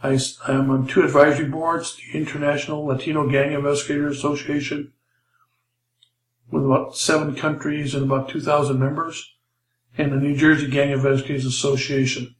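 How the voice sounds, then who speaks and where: An older man, a retired police officer from Los Angeles, introducing himself to a class in a plain, halting way with many fillers. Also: a little high, little pitch movement, reverberant, slight background noise, low-quality recording. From Los Angeles, USA. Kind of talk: casual spoken lecture intro.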